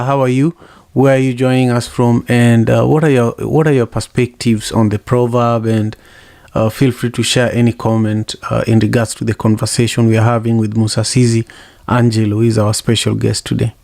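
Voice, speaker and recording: Flat gravelly male host. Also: mid-range voice, dry recording, clean studio sound. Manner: halting, repeats words, many uhs. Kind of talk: moderating an online discussion.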